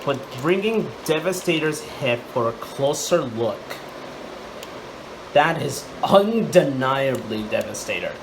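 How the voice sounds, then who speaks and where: A man hosting a casual hands-on toy review, speaking haltingly and repeating words and phrases. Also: mid-range voice, big pitch swings, vocal fry. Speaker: toy reviewer, channel host. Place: indoors, room at home. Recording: loud air-conditioner-like background noise.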